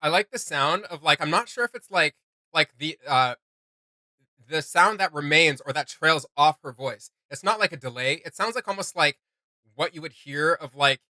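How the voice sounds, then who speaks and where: A man's voice: on the high side, animated, normal speed, bright, forceful tone, no room sound. A man co-hosting a casual reaction video, rambling enthusiastically and often searching for his words. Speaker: co-host of a reaction channel.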